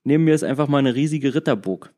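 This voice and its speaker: A lively-voiced man chats casually on a podcast from his couch, his pitch swinging freely as he talks. He hesitates with many fillers and restarts and laughs often. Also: fast. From Germany. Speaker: podcast guest. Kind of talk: casual chat. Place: living room, on a couch. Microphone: laptop call.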